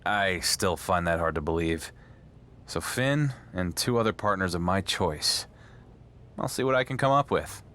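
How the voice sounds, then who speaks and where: Low-voiced, distrustful wrestler. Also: male voice, lively intonation, a little slow, fairly bright tone. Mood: wary. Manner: skeptical, terse. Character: distrustful, guarded male champion wrestler. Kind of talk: video game story cutscene dialogue.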